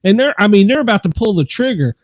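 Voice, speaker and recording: Podcast host guy, really lively up-and-down voice, dry mic. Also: high-pitched, fast talker, no room sound. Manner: animated storyteller, laughs while telling. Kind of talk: casual podcast conversation.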